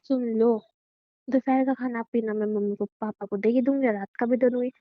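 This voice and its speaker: A woman's voice: on the high side, steady tone, moderate pace, no room sound, soft background music, lightly acted. A young woman playing a bossy, teasing wife who nags her husband insistently in a naturalistic phone-call skit. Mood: bossy, dismissive. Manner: nagging, insistent, repeats herself. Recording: dull, lo-fi phone call recording.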